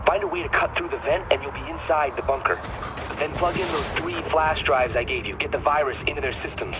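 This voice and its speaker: Businesslike male operative over very loud noise, acted. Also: on the high side, a little fast, lightly acted. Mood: focused, businesslike. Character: focused male special forces operative on a mission. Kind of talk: cinematic video game acting. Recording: very loud vehicle and radio noise.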